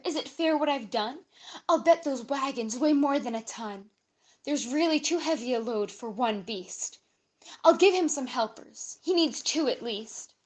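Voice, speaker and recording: A woman giving a dramatic, expressive reading of a rhyming children's story. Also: sits high. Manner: expressive, theatrical storybook delivery. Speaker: guest reader, camp staff member.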